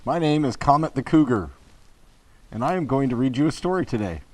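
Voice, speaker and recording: A man's voice: medium pitch, animated, a little fast, very noisy, pitched above the performer's own voice, close to an everyday voice. A man playing a friendly cougar school mascot, addressing young children in an upbeat, emphatic way. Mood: cheerful, welcoming.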